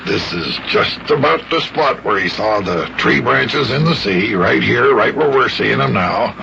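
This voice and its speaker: Jolly old sea captain, gravelly, salty talk, muffled old radio show. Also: male voice, mid-range voice, lively intonation, a little slow, background noise. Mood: jolly, assured. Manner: folksy nautical talk with repeated phrases. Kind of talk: old-time children's radio acting.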